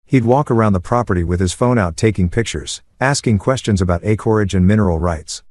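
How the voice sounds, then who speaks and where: A man narrating a first-person story in a steady, measured way. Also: on the low side, no room sound, clean studio sound.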